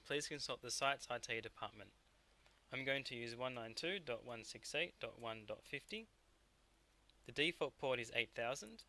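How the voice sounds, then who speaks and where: A man narrating a software tutorial step by step as a technical instructor. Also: mid-range voice.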